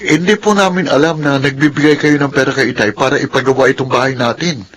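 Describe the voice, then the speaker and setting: An actor playing a disappointed young son in a Filipino radio drama, earnest and resentful. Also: male voice, medium pitch, fast, natural voice. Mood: resentful, sad. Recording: loud music behind.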